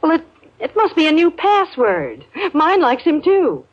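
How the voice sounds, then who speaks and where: A muffled old radio recording of a suburban mother. Her voice is fairly high and moves up and down a lot, with a genteel, gently questioning manner in period radio style. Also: huge pitch swings, unhurried, full character voice. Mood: polite, sociable. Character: polite, mildly puzzled suburban housewife and mother. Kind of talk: 1950s radio acting.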